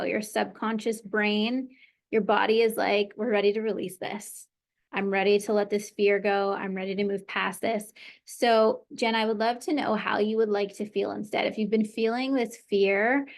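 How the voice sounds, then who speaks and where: A woman coaching a client through tapping, speaking in an encouraging tone and calling out phrases for her to say back. Her voice carries a noticeable gravelly fry. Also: medium pitch. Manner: encouraging, leads phrases for repeating. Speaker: tapping practitioner. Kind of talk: guided tapping session.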